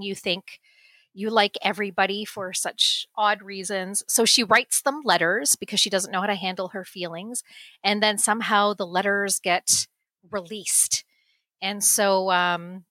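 A woman talks through a movie she watched with her daughter, relaxed and chatty, restarting her sentences and laughing along the way. Her voice is bright and forward. The sound is dry and close, with no room around it. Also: medium pitch, dry recording. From United States. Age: adult parent. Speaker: movie review guest. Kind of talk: casual movie chat.

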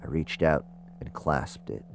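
A man's voice: very deep voice, measured pace, creaky, slight background noise, heavily acted. An actor playing a ghost hunter who narrates his investigation in a scripted first-person audio drama. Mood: measured, tense.

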